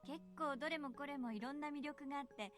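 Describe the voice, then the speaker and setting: An actress playing a teasing, thoughtful middle-aged mother in a visual novel, explaining things in a coy, measured manner. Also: high-pitched, soft background music, close to an everyday voice. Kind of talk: Japanese visual novel voice acting.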